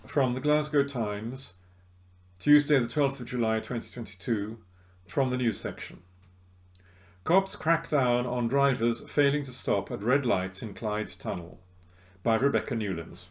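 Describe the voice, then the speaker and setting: A man's voice: medium pitch, unhurried. Man reading newspaper articles aloud. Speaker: volunteer newspaper reader. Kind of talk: read-aloud news articles. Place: at home.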